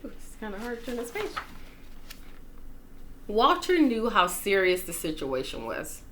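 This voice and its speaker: A woman reading a children's story aloud in an expressive, playful, dramatic manner, with casual asides. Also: medium pitch, unhurried, slight background noise. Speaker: storytime reader.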